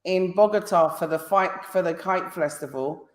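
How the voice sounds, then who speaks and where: A woman's voice: on the low side, normal speed. British woman hosting, in a roomy space. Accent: British English. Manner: probing, repeats questions. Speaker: live stream host. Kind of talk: casual live-stream interview.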